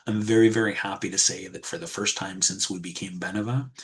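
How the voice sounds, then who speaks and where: A man giving an online presentation as an insurance wholesaler, calm and measured, often pausing with ums. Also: low-pitched. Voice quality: husky, soft. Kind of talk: webinar presentation with screen share.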